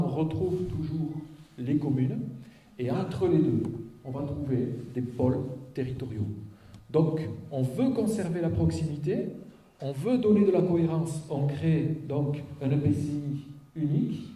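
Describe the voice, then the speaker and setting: A man's voice: a little high, unhurried, mellow. A man giving an explanatory public presentation as a local official, step by step, with check-in tags and rhetorical questions. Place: auditorium, public meeting. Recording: echoey auditorium, picked up from a distance.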